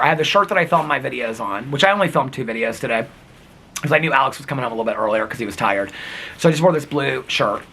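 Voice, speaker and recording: A man vlogging outdoors in wind and snow, talking casually in a rambling, giggly way with a husky, crackly voice. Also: medium pitch. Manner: rambling, giggly, animated storytelling. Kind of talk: casual chatty vlog. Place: outdoors in windy snowy weather.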